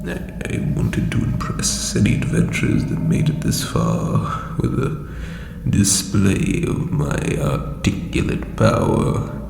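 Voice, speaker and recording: A man playing an ancient, insecure undead lich king in a comedic audio roleplay, with a breathy croak and hesitant, pompous phrasing. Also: very deep voice, sing-song, slow pace, a little mellow, exaggerated voice. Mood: sheepish, explaining. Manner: hesitant, self-doubting, theatrical formal phrasing. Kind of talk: scripted comedic audio roleplay addressed to listener. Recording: reverberant echoey hall, faint background noise.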